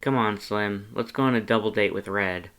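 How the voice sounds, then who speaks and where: A man's voice: lowish voice, normal speed, a bit bright, smooth, natural voice. A man voicing a laid-back, teasing male skeleton with a wry, casual drawl in a story reading. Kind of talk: storybook character dialogue.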